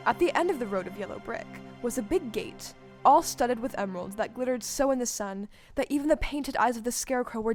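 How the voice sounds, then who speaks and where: A woman narrator reading scripted storybook narration for an audio play. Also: on the high side.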